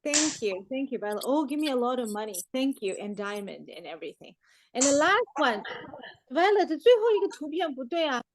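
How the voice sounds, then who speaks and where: High-pitched playful woman teacher in her forties. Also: big pitch swings, brisk, slight background noise. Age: 40s. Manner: playful, teasing, often chuckling. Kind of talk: interactive online class chat.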